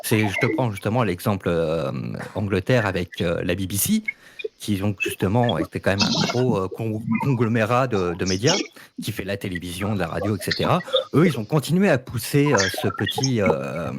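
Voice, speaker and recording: A man's voice: lowish voice, expressive, moderate pace, heavy background noise. A man with a French accent explaining his views in a casual online debate, often hesitating and repeating words. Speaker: debate participant.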